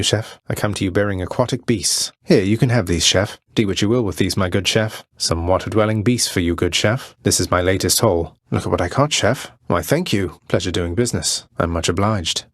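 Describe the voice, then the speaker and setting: Casting: sardonic young prince of the underworld for a game; low register, dry studio sound, courtly and understated. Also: male voice, no room sound. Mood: courteous. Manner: wry, courtly, understated delivery. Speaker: video game voice actor. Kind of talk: scripted video game character lines.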